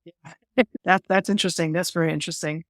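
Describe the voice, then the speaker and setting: A woman's voice: lowish voice, steady tone, fast talker, no echo, clean studio sound. A woman hosting a podcast interview, curious and thinking aloud as she frames her questions. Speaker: podcast host.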